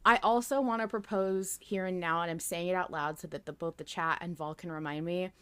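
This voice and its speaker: A woman hosting a casual fan podcast, talking in an excitable, emphatic way and swearing freely. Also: on the low side, fairly quick, clean tone. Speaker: podcast host.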